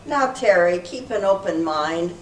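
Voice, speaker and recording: A woman's voice: fairly low pitch, animated, measured pace. A woman playing an eager, easily flustered group leader in amateur readers theater, speaking earnestly. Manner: earnest, presenting. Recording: echoey room from far off, faint noise.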